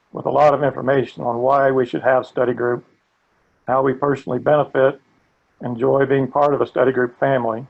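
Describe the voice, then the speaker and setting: Gravelly-voiced man presenting a webinar. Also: medium pitch, phone-quality sound. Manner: earnest, steady, occasional restarts. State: gravelly voice today. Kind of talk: presenting a live training webinar.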